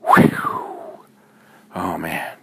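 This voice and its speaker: A man voicing an excitable, anxious little girl in a bedtime story, squealing when excited. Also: sits low, extremely slow, vocal fry, husky, full character voice.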